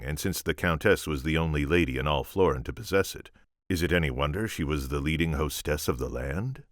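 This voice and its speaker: A man narrates a storybook in a very deep voice that rises and falls in a lively way. He leans on words with dramatic, deliberate emphasis. The sound is dry and close. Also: no room sound. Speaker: amateur audiobook reader.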